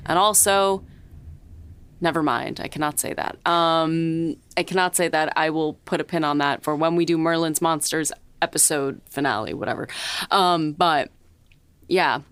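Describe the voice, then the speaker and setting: A woman's voice: low-pitched, fairly bright tone, clear, resonant tone, no echo. A woman co-hosting a casual panel chat, earnest and enthusiastic as she talks. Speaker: podcast co-host and series writer.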